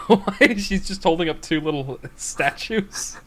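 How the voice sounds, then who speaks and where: This is an animated podcast co-host with a mid-pitched voice and very lively intonation. They take their time, chatting casually or reading fiction aloud, and sound giggly throughout. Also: slow pace, a little hiss or hum. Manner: giggly, often breaking into laughter. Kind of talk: casual banter and reading a bad story aloud.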